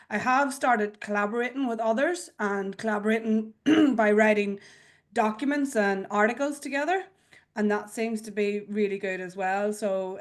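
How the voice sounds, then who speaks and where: A woman with a head cold, sounding stuffed up, introducing her training work on a video call with many ums. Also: mid-range voice. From Australia. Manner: fluent, with frequent ums and throat clearing. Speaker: cybersecurity trainer and speaker.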